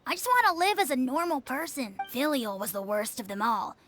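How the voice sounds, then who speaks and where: A woman's voice: high voice, lively intonation, fairly quick, a bit bright, soft background music. A woman voicing an earnest young girl informant who reports on her housemates in a game. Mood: accusing. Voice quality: matter-of-fact, tattling. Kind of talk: game voice acting.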